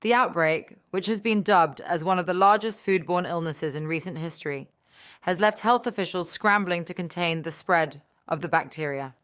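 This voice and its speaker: Low-pitched female news reporter, faint noise. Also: normal speed. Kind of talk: scripted news narration.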